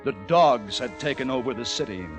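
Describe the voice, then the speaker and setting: An actor playing a haunted, educated young American survivor, narrating intensely in the theatrical style of old-time radio drama. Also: male voice, mid-range voice, animated, fairly quick, close to an everyday voice. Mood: grim, brooding. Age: young adult. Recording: vintage radio recording with music behind.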